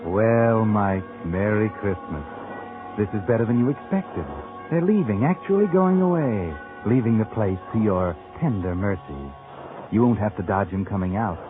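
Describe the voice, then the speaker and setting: A sly, taunting narrator from an old radio mystery, played by a man, speaks low and insinuating, like a fugitive's scheming inner thoughts. The recording is tinny and narrow, with music playing clearly behind him. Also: animated, normal speed, close to an everyday voice. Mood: smug, mocking. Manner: sardonic, insinuating. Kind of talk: 1940s radio mystery narration in second person.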